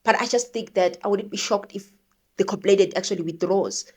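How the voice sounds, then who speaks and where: A woman's voice: medium pitch, brisk. A South African woman giving opinionated, conversational news commentary, thinking aloud and posing rhetorical questions. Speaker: commentator, show host.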